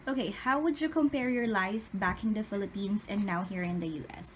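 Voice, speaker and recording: A woman's voice: mid-range voice, fairly quick, a little hiss or hum. A woman interviewer putting prepared questions to a guest in a read-out style.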